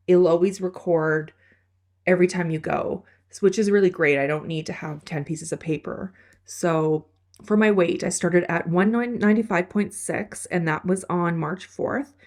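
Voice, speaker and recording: A woman's voice: lowish voice, darkish tone. A woman in her thirties vlogging casually, nasal and stuffed up from a head cold. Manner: chatty, rambling explanations. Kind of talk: casual vlog talk.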